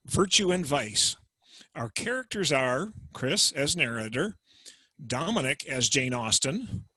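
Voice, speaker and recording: Bright-voiced male narrator. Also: medium pitch, no echo, rough recording. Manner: clear, explanatory. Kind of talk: dramatic reading narration. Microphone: video call.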